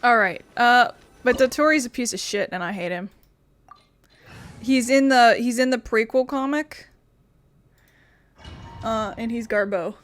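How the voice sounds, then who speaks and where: A woman's voice: a little high, unhurried, pauses often, a little hiss or hum. Playful woman gamer. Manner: playful, blunt, sweary. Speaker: gaming streamer. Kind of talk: casual let's play commentary.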